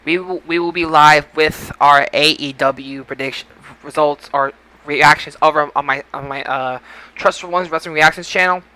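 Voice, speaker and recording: Male podcast host, slightly high and forward-bright voice, casual, halting delivery with repeated starts. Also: steady tone, smooth. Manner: halting, repeats and restarts phrases. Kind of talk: casual podcast sign-off talk.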